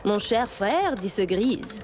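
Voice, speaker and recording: Game role: flirtatious French high-society gambler, haughty and sharp-tongued, loud score underneath. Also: female voice, expressive, slow, over music. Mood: musing. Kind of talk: video game cutscene acting.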